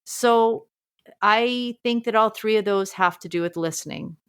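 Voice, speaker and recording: A woman's voice: mid-range voice, unhurried, clean tone. A woman who is a teacher and podcast co-host, talking earnestly with a strong voice and thinking aloud with frequent restarts. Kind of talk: reflective casual conversation.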